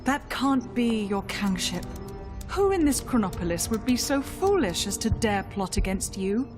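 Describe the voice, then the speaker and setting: A woman's voice: mid-range voice, big pitch swings, unhurried. A woman playing a formal, obsequious lieutenant to a villain in a video game, with music behind. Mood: disbelieving. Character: deferential, sly female lieutenant to a villain.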